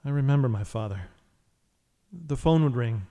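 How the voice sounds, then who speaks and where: A man's voice: mid-range voice, expressive, normal speed, dark tone, big-room sound, natural voice. A man playing a brooding, haunted vigilante hero, narrating a noir inner monologue in an audio drama. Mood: wistful.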